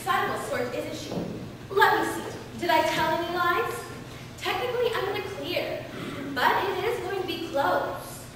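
A young actress as a high-pitched, feisty ex-girlfriend, echoey stage. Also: normal speed. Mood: evasive. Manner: theatrical, sardonic, projecting to a hall. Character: feisty, sarcastic ex-girlfriend of a bickering man. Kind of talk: amateur stage comedy-drama acting. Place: indoor stage before a small audience. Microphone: far camera in the audience. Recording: echoey school stage, distant camera, noisy.

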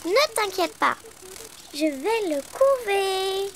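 An actor voicing an anxious, excitable little female ladybug in a children's cartoon, timid and expressive. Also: very high-pitched, takes it slowly, slight background noise, full character voice. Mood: curious, chatty. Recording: music behind the voice.

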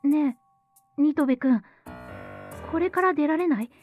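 A woman's voice: sits high, unhurried, darkish tone, faint music behind. A woman playing a determined young actress who talks earnestly and informally in a Japanese audio drama. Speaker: voice actor.

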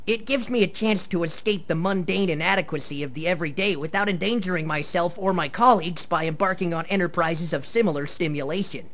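A man's voice: high voice, fairly quick, few pauses, fairly bright tone. An actor playing a nerdy teenage boy in a radio play, earnest and defensive, hesitant and wordy. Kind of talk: live radio play acting.

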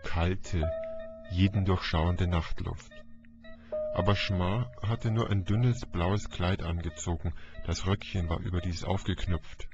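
Male audiobook narrator, very unhurried dramatic delivery, slightly dull lo-fi recording. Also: deep voice, fairly even intonation. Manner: deliberate, declamatory. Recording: dull lo-fi audio, music behind. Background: music.

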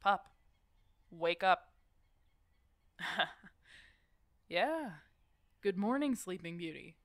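A woman playing an unhurried, protective werewolf mentor. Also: fairly low pitch, lively intonation, a bit bright, no echo, exaggerated voice. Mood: groggy, amused. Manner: casual, swearing, bossy but affectionate, chuckling. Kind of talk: ASMR roleplay audio drama addressed to the listener.

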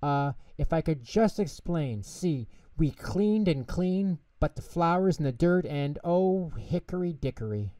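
A man voicing a small, excitable, anxious young male mouse in a children's storybook, fretting and repeating words. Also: on the high side, slow, no room sound, pitched above the performer's own voice. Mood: anxious, flustered.

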